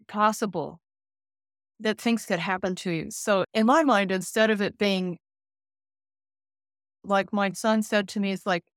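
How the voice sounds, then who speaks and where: A woman in her late sixties, a care manager and counselor, speaking gently and thoughtfully in an interview, often pausing to find her words. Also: mid-range voice, dry recording, clean studio sound. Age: 60s. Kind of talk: reflective interview conversation.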